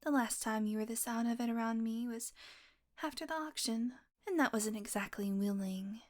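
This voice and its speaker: A woman playing a sardonic, teasing, predatory vampire with a soft spot, in an intimate, sweary audio roleplay monologue that often drops to a murmur. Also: on the high side, normal speed, lightly acted. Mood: soft, musing.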